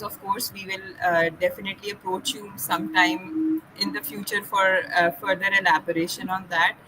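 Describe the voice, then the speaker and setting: Bright-voiced woman hosting a live stream. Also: medium pitch, slight background noise, poor audio. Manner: courteous and formal, with many fillers. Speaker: host. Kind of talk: formal thank-you as live stream host.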